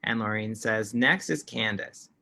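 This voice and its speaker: A male interpreter with a bright, forceful tone, voicing a panel's signed remarks in the first person. He relays steadily, often restarting a phrase mid-sentence, over an online call mic. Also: on the low side, a little slow, creaky. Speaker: sign language interpreter.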